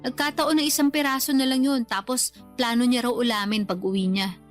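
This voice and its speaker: A woman's voice: fairly high pitch, steady tone, fairly quick, close to an everyday voice. A young woman acting an aggrieved, whiny grown daughter who defends herself to her mother in a radio drama. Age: young adult. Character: aggrieved grown daughter sulking over a lovers' quarrel. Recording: music under the voice. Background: music.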